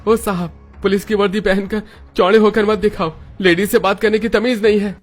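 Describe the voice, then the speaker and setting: Man as a high, emotional poor street vendor. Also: fairly even intonation, fairly quick, soft background music. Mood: indignant. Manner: pleading, emotional. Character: poor, aggrieved momo vendor husband. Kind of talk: cartoon story acting.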